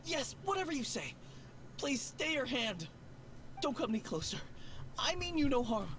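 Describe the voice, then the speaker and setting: A woman's voice: high-pitched, big pitch swings, normal speed. A woman voicing a timid young male rookie undertaker in a video game, with a fearful, pleading and stammering delivery. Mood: terrified, pleading. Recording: game audio with background noise.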